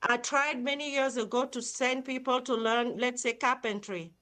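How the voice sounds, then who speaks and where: A woman's voice: a little high, steady tone, moderate pace, pushed and bright. A middle-aged woman with a Cameroonian accent, speaking enthusiastically as a charity founder in a live interview. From Cameroon, Central Africa. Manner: enthusiastic, upbeat. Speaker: nonprofit founder and CEO, interview guest.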